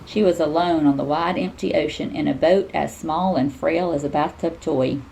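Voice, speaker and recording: A woman reading a children's storybook aloud in an expressive, teacherly way. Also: low-pitched, moderate pace, vocal fry, slight background noise, rough recording.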